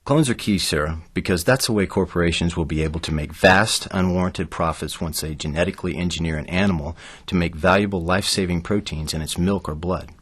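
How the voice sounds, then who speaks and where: An actor playing an earnest, preachy activist author in an audio drama. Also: male voice, sits low, brisk, a bit bright, breathy edge. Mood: earnest, urgent. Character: earnest male activist author, alarmed and self-promoting.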